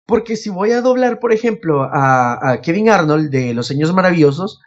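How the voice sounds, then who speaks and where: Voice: mid-range voice, expressive, normal speed, highs cut off. A podcast host, chatting casually and telling anecdotes, often acting out what others said. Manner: acts out quoted remarks.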